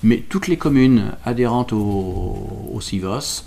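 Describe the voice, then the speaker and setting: Low-voiced man explaining, searching for words. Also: takes it slowly, creaky, dark tone, a little hiss or hum. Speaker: local representative explaining a village school project. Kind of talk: explanatory interview answers.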